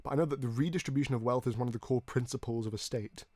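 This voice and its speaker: Male podcast host, from Hong Kong, mid-pitched, earnest and wordy, stumbles over words, close dry sound. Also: a little mellow, no room sound. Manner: earnest, long-winded, halting with repeated words. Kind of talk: conversational political discussion.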